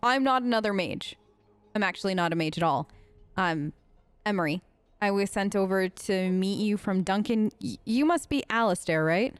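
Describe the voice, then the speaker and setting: A woman's voice: medium pitch, animated, normal speed, clean tone, no room sound. A woman giving casual, wry gaming commentary as a let's play host, chatting in character on a close mic.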